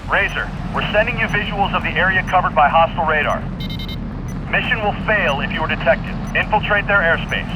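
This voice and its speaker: An actor playing a brisk, businesslike military mission controller giving procedural radio briefings. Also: male voice, high voice, lightly acted. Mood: urgent, controlled. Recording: distant radio voice, aircraft noise.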